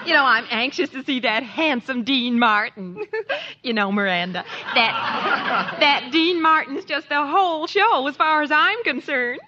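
A woman's voice: sits high, animated, a little slow. An actress playing a gossipy, gushing woman fan in a 1940s radio comedy. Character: chatty, gushing woman fan waiting in line. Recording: dull, noisy old 1940s radio recording.

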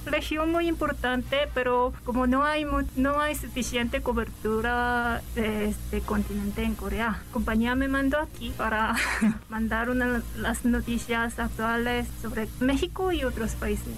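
A young woman reporter from South Korea with a Korean accent, speaking Spanish as a second language, halting and searching for words with shy laughs. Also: on the high side, steady tone, low-quality recording. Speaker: guest reporter. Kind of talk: radio interview.

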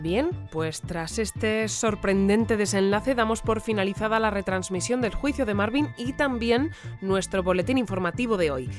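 An actress as a newsreader over music. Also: female voice, medium pitch, normal speed, natural voice. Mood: composed, official. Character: polished female news bulletin presenter. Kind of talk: scripted news broadcast.

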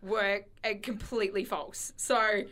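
A young Australian woman hosting a casual radio show, telling stories in an animated, giggly way. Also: mid-range voice, unhurried.

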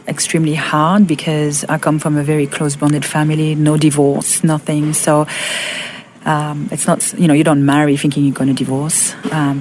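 A woman in her fifties from Monaco with a French accent, telling her personal story in an interview, halting with fillers and restarts. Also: low-pitched, a little mellow, a little hiss or hum. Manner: halting, many fillers and restarts, laughs often. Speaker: guest.

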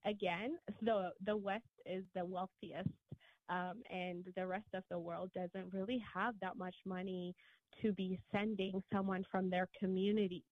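A woman from Ethiopia, a guest author on a radio talk show, answering earnestly and in a measured way with frequent restarts, heard over a remote line. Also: medium pitch, fairly even intonation, no echo. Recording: tinny phone-line sound, dry.

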